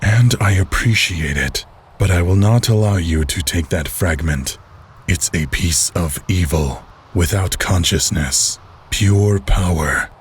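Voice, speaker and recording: Very deep, stern game antihero. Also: male voice, slow pace, a little hiss or hum. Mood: grave resolve. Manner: grave, blunt, dismissive. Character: stern, sceptical male antihero confronting a villain. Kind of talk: dramatic video game voice acting.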